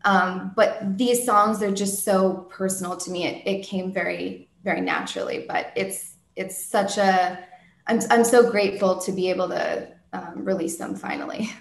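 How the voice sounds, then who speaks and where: A woman, a singer-songwriter, answering interview questions in a soft soprano voice, thoughtful, with many ums and restarts. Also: medium pitch, animated, normal speed, gravelly, echoey room.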